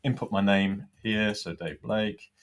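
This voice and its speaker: A man giving an informal software tutorial as a product demonstrator, explaining things step by step. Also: lowish voice, fairly even intonation, measured pace.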